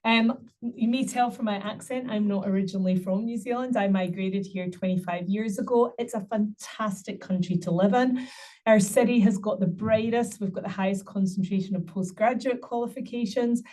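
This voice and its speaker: A woman's voice: mid-range voice, fairly even intonation, moderate pace, dark tone, distant mic. A middle-aged woman giving an upbeat university webinar presentation, promoting her city with frequent ums.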